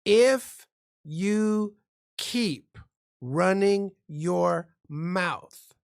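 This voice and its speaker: A man's voice: high voice, animated, drawn-out words, gravelly, clean tone. A man who works as a defense lawyer, explaining the law like a lecturer in profane street slang. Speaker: guest criminal defense lawyer.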